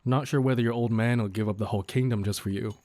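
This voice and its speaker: A man's voice: medium pitch, fairly even intonation, fast, darkish tone, no echo, lightly acted. A man playing a cocky but considerate bandit leader in an audio roleplay, talking to the listener in a casual, folksy, wryly mock-courteous way. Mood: wry, conspiratorial.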